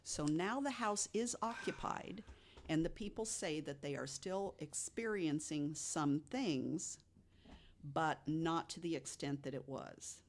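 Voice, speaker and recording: Low-voiced woman telling a ghost story. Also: slow pace. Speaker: podcast guest co-host.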